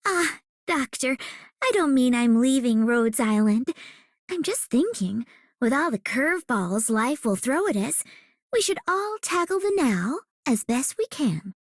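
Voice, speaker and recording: A woman's voice: high-pitched, very animated, unhurried, dry recording, clean studio sound, full character voice. A woman voicing a wry, playful ninja turned undercover officer in a game, in a musing manner. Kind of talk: game character voice line.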